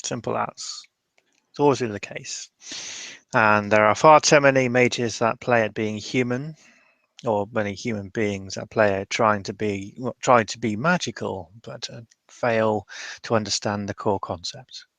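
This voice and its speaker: A man's voice: fairly low pitch, steady tone, a little slow, fairly bright tone, no echo. A man playing a wry, reluctant fey-blooded mage who grumbles and rambles through improvised tabletop roleplay. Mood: wry, opinionated.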